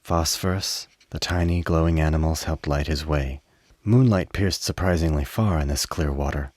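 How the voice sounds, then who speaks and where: Deep-voiced male radio play narrator. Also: a little mellow, dry recording. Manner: measured, literary storytelling.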